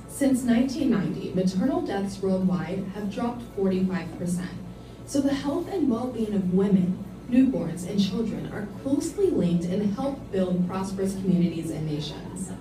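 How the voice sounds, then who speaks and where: A woman narrating an informational video in a scripted, persuasive tone. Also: medium pitch. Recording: echoey playback from far off.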